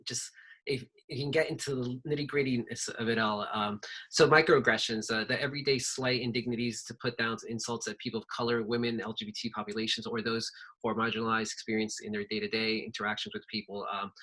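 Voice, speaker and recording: A man's voice: mid-range voice, fairly even intonation, brisk, bright, forceful tone, rough recording. A man whose first language is Spanish, speaking conversationally with many fillers as a panelist in an online workshop over a video call.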